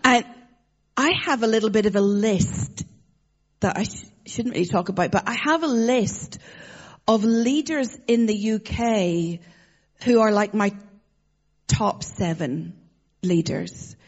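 A woman's voice: mid-range voice, slow pace, long pauses, dull audio. A woman with an Irish accent, a guest preacher giving a conversational sermon, chatty and joking with frequent fillers. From Ireland.

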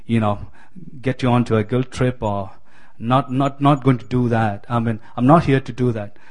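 Guy from giving a sermon, lots of ums and restarts, middle pitch, friendly. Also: steady tone, normal speed. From Kerala, India. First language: Malayalam. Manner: hesitant, many fillers and restarts, friendly. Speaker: guest preacher.

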